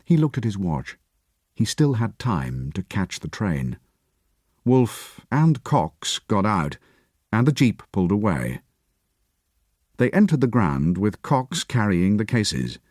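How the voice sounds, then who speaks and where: A man reading an audiobook in a measured, expressive storytelling voice. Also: lowish voice, dry recording, studio quality. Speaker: audiobook narrator. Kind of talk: scripted narration.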